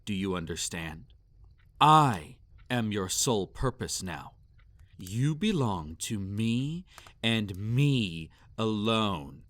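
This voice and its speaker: A man playing a sadistic, possessive vampire master in a roleplay audio, speaking formally and condescendingly with silky menace and drawn-out commands. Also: fairly low pitch, very animated, a bit bright, dry recording, heavily acted. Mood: angry, possessive. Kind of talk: roleplay audio acting, intimate and theatrical.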